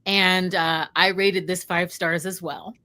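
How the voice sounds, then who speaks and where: A woman's voice: on the low side, steady tone, slow, sharp tone. A woman co-hosting a book readalong, talking in a gushing, exclamatory way in casual, enthusiastic chat.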